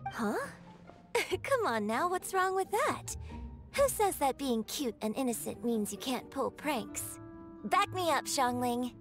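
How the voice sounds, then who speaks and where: Cute, mischievous young girl for a game: very high, bouncy melody, over music. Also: sing-song, slow pace, fairly bright tone, exaggerated voice. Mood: playful, gleeful. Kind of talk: video game voice acting.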